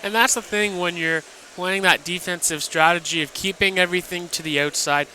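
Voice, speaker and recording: A man's voice: sits high, fairly even intonation, a little fast, sharp tone, slight background noise, low-quality recording. A man working as a hockey color commentator, giving conversational analysis from an indoor arena.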